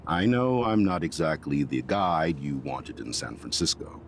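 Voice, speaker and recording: A man's voice: rumbling low, expressive, unhurried, slight background noise, exaggerated voice. A man voicing a weary middle-aged school principal in a video game, formal and dryly self-deprecating. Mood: formal, proud. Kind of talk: video game dialogue acting.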